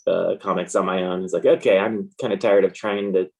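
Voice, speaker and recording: A man's voice: deep voice, fairly even intonation, fairly quick, gravelly, husky. An American man talking casually and informally as an interview guest, in a laid-back way. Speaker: interview guest, comic artist.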